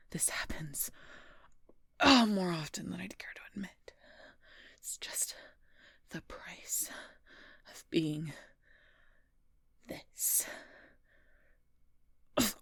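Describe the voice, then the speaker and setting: Woman acting a breathy, husky wounded werewolf knight. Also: fairly low pitch, a bit bright, no room sound, studio quality, heavily acted. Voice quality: breathy, husky, often whispering. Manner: halting, groaning, strained through pain. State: in pain, straining for breath. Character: wounded gruff female werewolf knight, bitter and guarded. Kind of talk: intimate audio roleplay acting.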